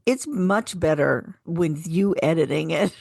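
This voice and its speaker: A woman's voice: low voice, expressive, measured pace, creaky, darkish tone, husky, no echo, clean studio sound. A woman co-hosting a radio show, speaking in a casual, chatty style. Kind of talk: casual radio chat with some program introductions.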